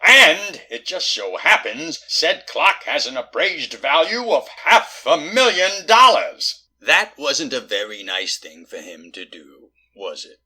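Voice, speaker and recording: Sarcastic police detective, acted by a man, wildly animated. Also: sits low, husky, heavily acted. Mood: mock-patient, sardonic. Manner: wry, theatrical, drawn-out emphasis. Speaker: actor. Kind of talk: comic audio drama acting.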